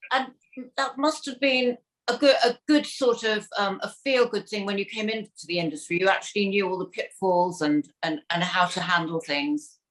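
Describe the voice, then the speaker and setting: A woman's voice: medium pitch, normal speed, low-quality recording. A middle-aged woman, casually putting questions to a guest as a panel host on a video call. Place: home room, indoors, on a video call.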